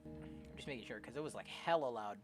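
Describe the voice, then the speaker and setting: A streamer plays through a game, talking in casual slang and joking reactions. The same voice also reads narration aloud, and game music runs clearly behind it. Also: medium pitch, a little fast. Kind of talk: casual let's play chat with read-aloud narration.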